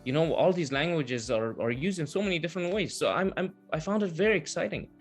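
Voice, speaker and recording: A man whose first language is Persian, giving measured, earnest interview answers with filler pauses over a video call. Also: on the high side, animated, faint music behind. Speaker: guest, translation business owner.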